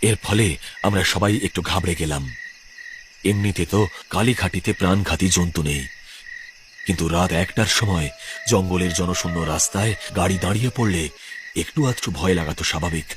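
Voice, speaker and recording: Low-voiced man narrating a spooky story. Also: normal speed, pauses often, background noise. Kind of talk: first-person spooky cartoon story narration. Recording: music under the voice.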